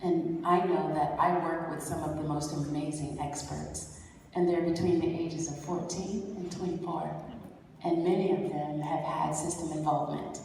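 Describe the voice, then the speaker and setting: A woman's voice: low-pitched, level tone, normal speed, noisy background. A woman youth advocate on a conference panel, speaking in an affirming, conversational way. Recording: echoey hall, mic heard from far off.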